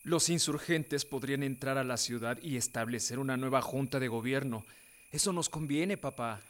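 A man's voice: medium pitch, normal speed, fairly bright tone, close to an everyday voice. An actor playing an earnest, stubborn young man with a Mexican accent in a radio drama, speaking insistently. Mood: defiant. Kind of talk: Spanish-language radio drama acting.

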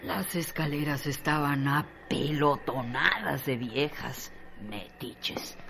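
A woman's voice: low-pitched, takes it slowly, vocal fry, background noise, exaggerated voice. An actress playing a doting, boastful Mexican woman who tells tales of her man in emphatic, colloquial radio drama style. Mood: admiring, gossipy.

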